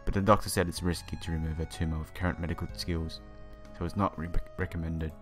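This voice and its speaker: A man's voice: deep voice, level tone, fast. A man doing a game playthrough, reading the story text aloud with casual asides and stumbling over some words. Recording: game music behind the voice.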